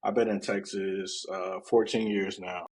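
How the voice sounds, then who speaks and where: A man's voice: low voice, little pitch movement, a little slow, a little mellow, slightly rough. A man from Oklahoma joining a podcast as a guest over a video call, easygoing and friendly. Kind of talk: casual chat.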